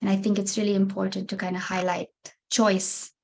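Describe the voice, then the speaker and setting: Woman hosting a panel, pretty flat even tone, reflective. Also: medium pitch, a little fast, gravelly. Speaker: panel moderator. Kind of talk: panel discussion.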